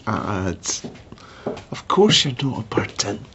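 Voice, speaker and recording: A woman's voice: very deep voice, big pitch swings, slow pace, gravelly, highs cut off, noisy background, exaggerated voice. An actress playing a patient, weary grown daughter, reasoning with her father in a radio drama. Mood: weary, soothing.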